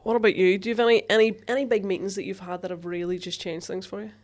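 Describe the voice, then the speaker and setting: A woman with a Northern Irish accent chats casually as a podcast co-host. She speaks in a middle-pitched voice, in the easy back-and-forth of informal banter. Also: steady tone, very fast. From Strabane, Northern Ireland.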